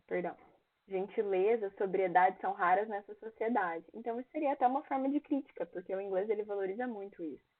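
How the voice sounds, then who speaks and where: A young woman with a Brazilian Portuguese accent teaching an English exam review class, reading lines in English and explaining them. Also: medium pitch. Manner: reads English lyrics aloud, then translates and explains each line. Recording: tinny phone-like online class audio.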